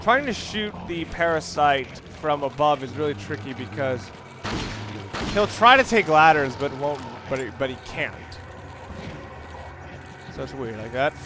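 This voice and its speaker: Higher-voiced man commentating over noisy recording. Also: expressive, measured pace, long pauses. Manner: explanatory, analytical, self-correcting. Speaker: gameplay commentator. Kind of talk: casual let's play commentary. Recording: noisy recording with clear background noise.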